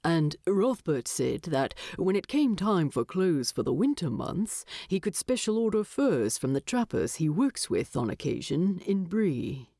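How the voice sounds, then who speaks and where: A woman voicing a gruff, awkward dwarf man in a storybook, with a creaky voice and a hesitant, formal way of speaking. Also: lowish voice, very animated, a little slow, few pauses, dark tone, no echo, exaggerated voice. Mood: dry, at ease.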